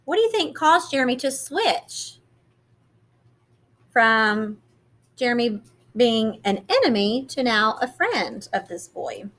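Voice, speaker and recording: A woman reading a children's picture book aloud in an expressive, teacherly way, pausing to put questions to young listeners. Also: fairly high pitch. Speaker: read-aloud storyteller.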